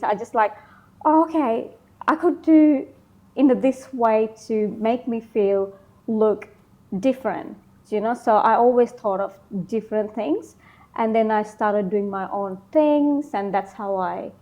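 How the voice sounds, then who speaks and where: A woman from Sri Lanka, in her thirties, answering interview questions casually, often pausing with ums and likes. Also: mid-range voice, dark tone, muffled recording. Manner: hesitant, many ums and likes. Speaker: interview guest, beauty business founder.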